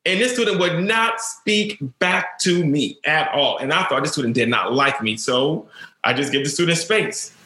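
A man's voice: on the high side, animated, moderate pace, sharp tone, roomy sound. A man who is a teacher, telling his own story in an interview, earnest and stuttering, repeating words as he goes.

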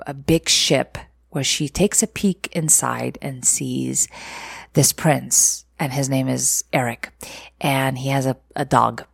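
A woman's voice: a bass voice, creaky, slightly rough, no echo, clean studio sound. A woman from Egypt talking casually to her viewers, candid and opinionated, rambling with restarts, rhetorical questions and laughing asides. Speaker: vlogger.

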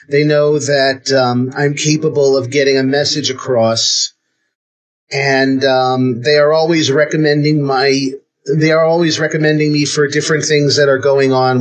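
A man in his thirties with an American accent, answering interview questions earnestly, pausing often with ums. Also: fairly high pitch. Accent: American, lived long in Israel. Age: 30s. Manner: earnest, hesitant with many ums. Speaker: guest.

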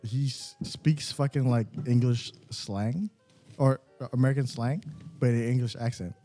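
Brief: blunt crude man, dark husky voice, faint music behind. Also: medium pitch, fairly even intonation, normal speed. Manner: blunt, crude, cuts in with short remarks. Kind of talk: casual chat among friends.

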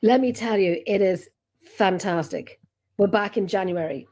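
A woman's voice: mid-range voice, a little slow, darkish tone. A woman with an Irish accent hosting a casual chat over a video call, telling long rambling stories and interrupting herself often. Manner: chatty, rambling, self-interrupting storyteller. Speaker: podcast host and paranormal investigator.

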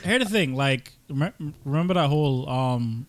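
A man with a somewhat high voice banters casually as a podcast co-host. He riffs and jokes in loose slang, recorded dry and close. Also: animated, moderate pace, no room sound. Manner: slangy, riffing, joking.

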